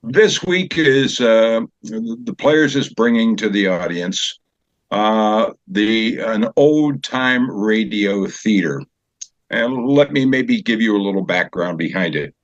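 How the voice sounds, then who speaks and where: An older man being interviewed as a guest over a remote call, explaining things in a measured, halting way. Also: fairly low pitch, expressive, no room sound. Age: older, 70s or so. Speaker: guest from a community theater group. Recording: dull, band-limited call audio.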